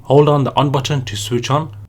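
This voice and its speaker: A man's voice: medium pitch, steady tone, a little fast, darkish tone. A man narrating a scripted product review as the host of a review channel.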